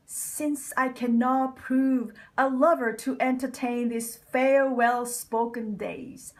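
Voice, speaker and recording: Lady doing a shakespeare villain speech, really slow n dramatic, kinda high voice, bitter and scheming, drops into whispers. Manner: deliberate, dramatic, with breathy whispered phrase endings. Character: bitter, scheming deformed nobleman plotting villainy. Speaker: amateur Shakespeare reader. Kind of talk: slow theatrical recitation of a Shakespeare soliloquy.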